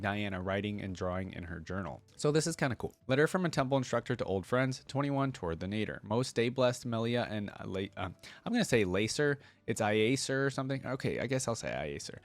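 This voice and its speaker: A man's voice: medium pitch, sing-song, fast, hardly any pauses, dry recording. A man giving casual commentary and reading game lore aloud, explaining things and often checking in with the listener. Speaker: reaction video host.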